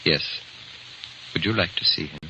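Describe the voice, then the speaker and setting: Grave knowing gentleman, deep voice, measured delivery, vintage radio drama, muffled and hissy. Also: full character voice. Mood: grave, pointed. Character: grave, composed man coolly breaking grim news. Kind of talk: 1930s-40s radio acting. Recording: muffled old radio recording, heavy hiss.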